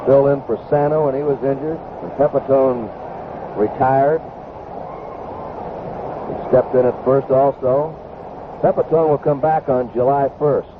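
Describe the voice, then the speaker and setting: A man's voice: medium pitch, steady tone, a little slow, pauses often. An American man calling baseball play-by-play live, in clipped short phrases. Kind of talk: live sports commentary. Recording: tinny, noisy vintage broadcast.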